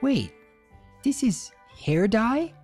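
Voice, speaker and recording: A man voicing an anxious, excitable young female cartoon unicorn pony with a fretful, pleading delivery. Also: extremely high pitch, drawn-out words, faint music behind, pitched above the performer's own voice, heavily acted. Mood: confused, surprised. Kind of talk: dramatic cartoon fan-fiction reading.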